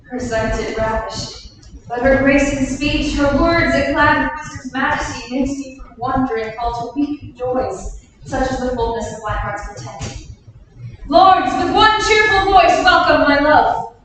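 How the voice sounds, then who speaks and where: A woman reading as a gentle young king, courteous and gracious, in a staged Shakespeare reading. The voice sits high and is heard from across an echoing room. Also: a little slow, a little hiss or hum. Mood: tender. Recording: echoey room, mic far off.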